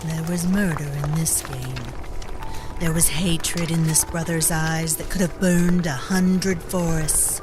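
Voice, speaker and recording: A woman playing a grave storyteller of dark tales in an audio drama, narrating deliberately and ominously over music. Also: sits low, steady tone. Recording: loud music under the voice.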